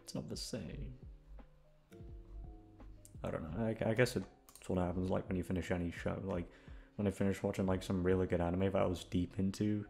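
A man's voice: sits low, steady tone, brisk, creaky, pauses often, darkish tone, faint music behind. A young man chatting off the cuff to his listeners, rambling and hesitant, with casual swearing. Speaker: ASMR audio creator, voice actor.

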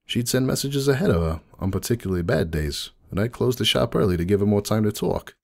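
A man acts out a world-weary middle-aged donut seller pony in a dramatic fan-fiction reading. His low, gravelly voice swings expressively as he confides his story in a folksy, rambling way. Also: lively intonation, quick pace. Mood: sorrowful, rueful. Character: jaded, rueful middle-aged male pony donut shop owner. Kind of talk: dramatic first-person monologue reading.

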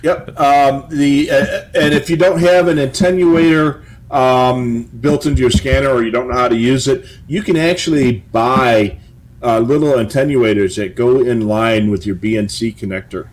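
Casting: middle-aged American man, medium pitch, informal co-host giving hobby tips. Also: fairly even intonation, normal speed, poor audio. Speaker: co-host of a police scanner show. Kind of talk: casual chat with tips and anecdotes. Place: home office, indoors.

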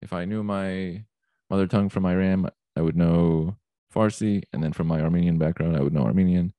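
A man in his thirties hosting an interview podcast, talking in a relaxed, halting way with fillers as he thinks aloud. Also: sits low, no echo. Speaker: podcast host. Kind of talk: casual interview chat. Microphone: studio mic on a stand in front of him.

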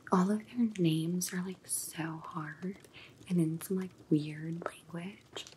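A woman's voice: fairly low pitch, steady tone, slow, noisy background. A woman playing a bored, snide young vampire housemate with a disdainful, gossipy drawl in an ASMR roleplay. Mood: scornful, bored. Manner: disdainful drawl, filler-heavy valley-girl talk. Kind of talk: whispered ASMR roleplay monologue.

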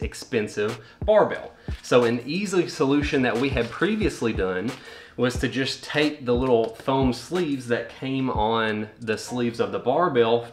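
A young man hosting a home gym channel, explaining do-it-yourself equipment in a practical, matter-of-fact way in a garage gym. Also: mid-range voice. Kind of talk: casual how-to explanation. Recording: echoey room with faint music.

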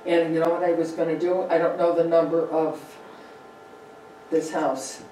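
A woman's voice: low-pitched, flat delivery, normal speed, dark tone, a little hiss or hum. Woman presenter, chatty history talk, heavy room echo, distant mic. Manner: chatty, loose, with fillers. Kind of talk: slide-show history talk. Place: meeting room with projector. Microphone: far room mic.

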